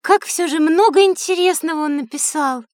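A woman's voice: extremely high pitch, moderate pace, darkish tone, no echo, studio quality. A woman voicing a curious, thoughtful, polite little girl in a children's audio play.

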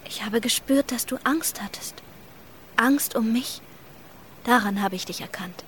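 A woman's voice: a little high, unhurried, slight background noise. An actress playing a brave young girl in love in a radio drama, firm, plain-spoken and tender. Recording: loud music behind the voice.

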